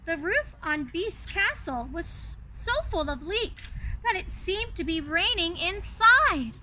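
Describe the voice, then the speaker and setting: A woman reading a storybook in an animated, gushing way, coaxing young listeners with direct asides. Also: very high voice, slow pace, slight background noise. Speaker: children's storyteller, reader in a princess character.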